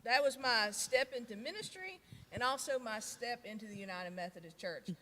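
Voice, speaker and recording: A woman's voice: a little high, animated, normal speed, pushed and bright. A woman, a youth minister chatting as a guest and telling stories. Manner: chatty storyteller, laughs easily. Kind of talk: casual interview chat.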